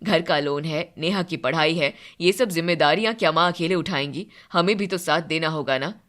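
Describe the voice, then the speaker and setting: Woman playing low-voiced, earnest young husband. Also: moderate pace, a bit bright. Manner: reasoning, admonishing.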